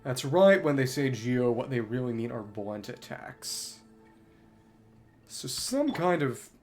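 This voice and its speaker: Male game streamer, mid-pitched voice, very varied intonation, analytical thinking aloud, music behind. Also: sing-song, slow, darkish tone. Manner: analytical, talking through tactics to himself. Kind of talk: live gameplay commentary, thinking aloud.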